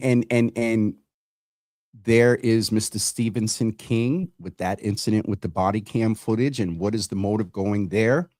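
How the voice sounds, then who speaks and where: Man, talk show host, low pitch, dry sound, conversational, halting delivery, repeated words. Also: darkish tone, no room sound.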